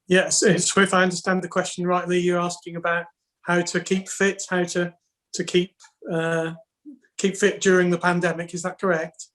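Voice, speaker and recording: Steady, flat-voiced man. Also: high voice. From United Kingdom. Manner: measured and steady, explaining step by step. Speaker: assistant referee guest. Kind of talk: answering questions on a webinar. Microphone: video call.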